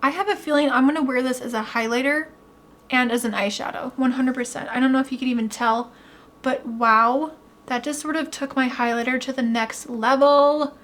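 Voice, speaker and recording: Young woman w a high voice doing a makeup unboxing, kinda hoarse, losing her voice, super gushy. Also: moderate pace. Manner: gushing, excited. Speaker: beauty vlogger. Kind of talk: product unboxing vlog.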